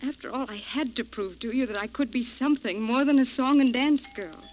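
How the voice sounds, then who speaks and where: An actress playing a scheming stage performer, cool and menacing, in an old radio drama. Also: female voice, a little high, fairly quick, slight background noise, close to an everyday voice. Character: scheming actress, calm cold woman. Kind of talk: 1940s radio acting. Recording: dull old radio broadcast.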